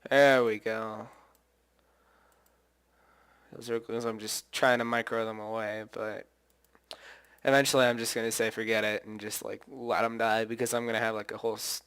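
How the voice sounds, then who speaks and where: A man talks through his own match in a loose, wandering way, stopping to correct himself and think out loud. His voice sits at an ordinary pitch and comes through smooth and clear, with only a slight hoarseness left over from singing. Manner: rambling, thinks aloud, self-correcting. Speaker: gameplay commentator. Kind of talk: casual gameplay commentary.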